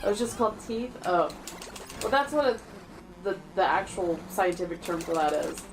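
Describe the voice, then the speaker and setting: A woman's voice: lowish voice, animated, normal speed, over music, rough recording. A woman chatting casually and teasingly while watching a retro game being played. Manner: playful, teasing, giggly. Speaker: co-host watching a playthrough. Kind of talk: casual gaming chat.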